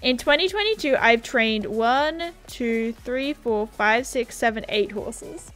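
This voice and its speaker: Young woman, game streamer on a headset, excitable and chatty, a touch higher pitched, soft game music behind. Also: slow. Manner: chatty, reads viewer messages aloud, excitable. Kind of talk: casual livestream chat. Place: home room at a gaming setup.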